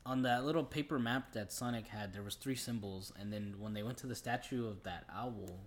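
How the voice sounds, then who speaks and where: A man with a fairly low voice discusses films as a podcast co-host. He is casual and conversational, using loose, everyday phrasing. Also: fairly quick, a little hiss or hum. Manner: informal, conversational, often says "like".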